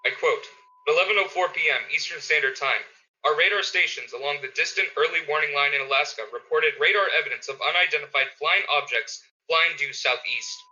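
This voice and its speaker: A man's voice: on the high side, brisk, sharp tone. A man playing an urgent radio announcer who reads an emergency bulletin in a formal, clipped way. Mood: urgent, grave. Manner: formal, clipped, repeating key orders. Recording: tinny phone-like radio sound.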